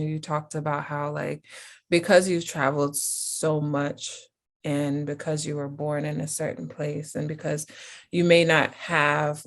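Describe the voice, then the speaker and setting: Slow-talking American woman interviewer. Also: low voice. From United States. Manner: rambling, heavy use of "like". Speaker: interviewer host. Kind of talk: casual interview chat.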